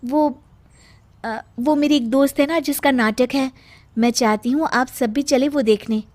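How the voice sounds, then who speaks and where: A woman voicing an earnest, sharp-minded young daughter-in-law in an animated story. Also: high voice, measured pace. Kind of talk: animated Hindi moral story dialogue.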